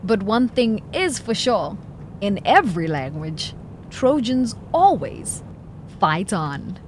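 A woman's voice: mid-range voice, very animated, takes it slowly, slight background noise. A young woman reporter narrating a scripted feature story in an upbeat manner. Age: young adult.

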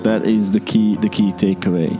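A man's voice: fairly low pitch, little pitch movement, normal speed, over music. A young man from Hungary with a Hungarian accent, speaking English as a second language, answering interview questions in an earnest way and searching for words. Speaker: startup founder.

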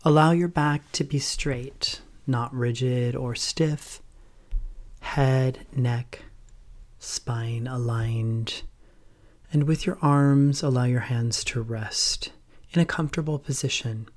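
A man speaks as a meditation teacher in a calm, gentle voice of average pitch. His delivery is slow and measured, with frequent pauses between short instructions. Phrases often trail off softly and breathily. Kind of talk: guided meditation narration.

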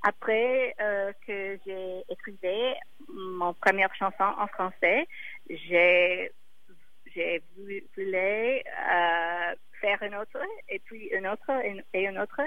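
A woman singer whose first language is English, speaking French haltingly and often pausing to search for words. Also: medium pitch, steady tone, dry recording, narrow, tinny audio. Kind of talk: interview in a second language.

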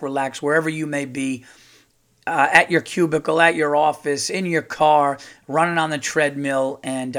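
A middle-aged man, a comedian hosting his own podcast, chatting casually and rambling with lots of fillers, his voice a little hoarse from touring. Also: medium pitch, a bit bright. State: slightly hoarse, losing his voice. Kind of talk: casual solo podcast monologue.

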